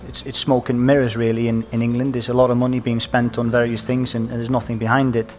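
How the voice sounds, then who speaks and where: A man in his thirties with an Icelandic accent, speaking on a conference stage panel through a headset mic, halting often with fillers and restarts. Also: medium pitch, little pitch movement, a little mellow, slight background noise. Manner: hesitant, many fillers and restarts. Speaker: panelist, former footballer.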